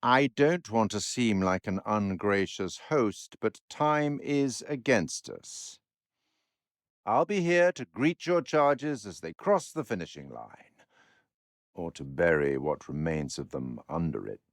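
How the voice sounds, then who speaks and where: An urbane, sardonic curator who narrates a dark video game. His voice is on the low side and very unhurried, with dramatic pauses. The delivery is formal, wry and theatrical, sweeping widely in pitch as he weighs the fates of others. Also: expressive, no room sound, studio quality. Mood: grave, courteous.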